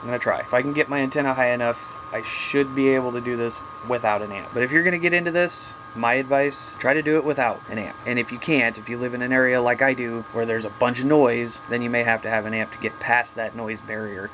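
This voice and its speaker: Man, casual vlogger, folksy and blunt, middling pitch, noticeable ambient rumble behind him. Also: steady tone, fast talker, few pauses. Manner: plain-spoken, folksy, mildly salty. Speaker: hobbyist video host. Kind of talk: casual unscripted show-and-tell vlog.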